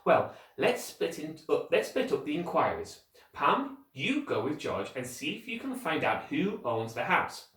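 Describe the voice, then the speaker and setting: A bossy schoolboy in charge of his gang in a children's story, voiced by a man. He sounds brisk and decisive, with lively rises and falls. The room echoes around him. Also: fairly high pitch, voice pushed up. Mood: commanding. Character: bossy, self-important schoolboy leader giving orders. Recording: roomy echo, distant microphone.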